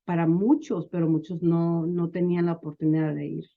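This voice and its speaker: A woman's voice: on the low side, little pitch movement, a little fast, vocal fry, phone-quality sound. A woman guest chatting informally in an interview, switching between languages at times. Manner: mixes Spanish and English. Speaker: interview guest, business advisor.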